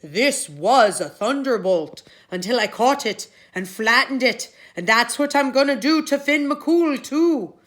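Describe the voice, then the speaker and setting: Woman putting on a loud, blustering giant bully. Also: fairly high pitch, expressive, a little slow, hardly any pauses, pitched above the performer's own voice. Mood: boastful, menacing. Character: bellowing male giant, boastful gluttonous bully.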